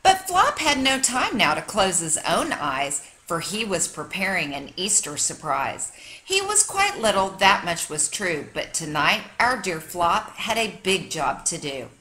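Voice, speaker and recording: A woman reading a children's picture book aloud like a teacher, with expressive, dramatic storytelling for young listeners. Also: fairly low pitch, a little slow, slight background noise.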